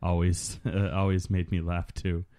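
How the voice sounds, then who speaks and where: A man's voice: sits low, flat delivery, a little slow, warm tone. A man co-hosting a casual movie commentary, loose and joking, often trailing off mid-sentence. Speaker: podcast co-host.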